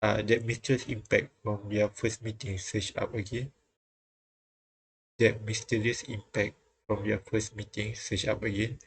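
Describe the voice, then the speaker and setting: A man reading visual novel dialogue and narration aloud on a live stream, halting and repeating lines as he goes. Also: on the low side, flat delivery, husky. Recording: music playing behind the voice. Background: game music.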